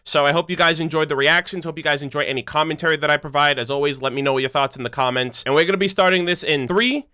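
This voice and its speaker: A man's voice: on the high side, fast talker, few pauses, bright, forceful tone, clear, resonant tone. A man hosting a reaction video, giving casual, slangy commentary full of exclamations.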